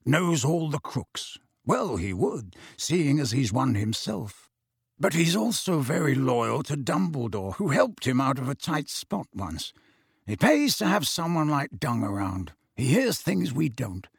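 Blunt, challenging adult male storybook godfather, done by a male reader; expressive range, dry studio sound. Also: moderate pace, slightly rough, dry recording, exaggerated voice. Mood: muttering. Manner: low-key, blunt. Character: frank, challenging grown man, a godfather.

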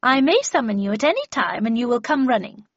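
A woman voicing a stern, sharp-tongued, commanding older woman in an audiobook, brusque and brooking no argument. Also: sing-song, brisk, no room sound, lo-fi sound. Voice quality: low growl at times. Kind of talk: audiobook character voice.

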